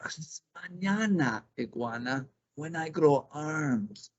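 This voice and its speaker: A man voicing a lazy storybook snake who stalls with languid, drawn-out excuses. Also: fairly high pitch, animated, voice pushed up. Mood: languid, stalling. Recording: slightly dull lo-fi recording.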